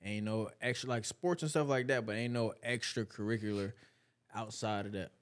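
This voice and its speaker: A man's voice: lowish voice, fairly even intonation, moderate pace, clear, resonant tone. Slangy man co-hosting a podcast. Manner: slangy, laid-back interjections. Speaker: podcast co-host. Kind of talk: casual podcast chat.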